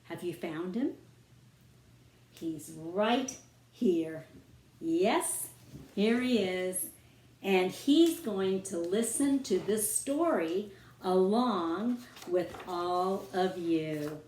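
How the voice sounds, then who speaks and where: Slow-paced woman storyteller in an echoey room. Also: on the low side, expressive, a little mellow, slight background noise. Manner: measured, dramatic phrasing for young listeners. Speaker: children's author reading own book. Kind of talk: expressive rhyming storybook read-aloud. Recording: roomy, echoing room sound.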